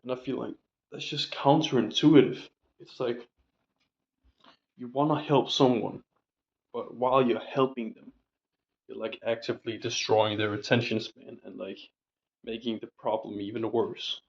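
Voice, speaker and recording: A man's voice: medium pitch, fairly even intonation, unhurried, creaky, highs cut off. A young man rambling casually in a diary vlog, full of fillers and casual swearing, his voice still recovering from a sore throat. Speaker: vlogger.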